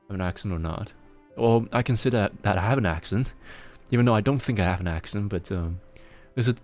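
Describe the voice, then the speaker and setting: A man with an Indian accent chatting casually with his viewers, rambling and restarting often as he laughs along. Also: fairly low pitch. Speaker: streamer.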